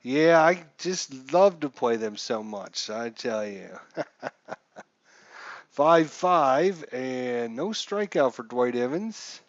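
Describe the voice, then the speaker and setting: Slow-paced man calling a baseball game. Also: medium pitch, lively intonation, no echo, dull audio. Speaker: live streamer, host. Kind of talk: baseball game play-by-play commentary.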